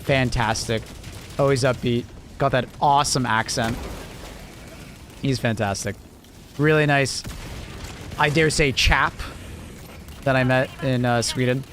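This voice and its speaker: A man's voice: mid-range voice, steady tone, unhurried, long pauses, a bit bright, a little hiss or hum. A young Canadian man with a mild, near-American accent, chatting casually on a live stream in a loose, rambling way with lots of fillers. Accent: Canadian, close to American. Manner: laid-back, rambling, lots of fillers, chuckles.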